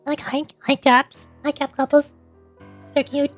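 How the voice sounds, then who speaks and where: A young woman streamer with a high, light voice chats casually with her viewers. She rambles and hesitates, filling pauses with ums and uhs and restarting her sentences as she goes. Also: level tone, faint music behind. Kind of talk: casual live-stream chat.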